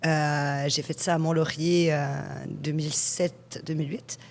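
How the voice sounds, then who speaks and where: A woman's voice: deep voice, measured pace. A woman in her forties, originally from Switzerland, chatting frankly as an interview guest about her working life. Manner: chatty storyteller, frank and lively. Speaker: guest, hypnotherapist. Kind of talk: conversational interview.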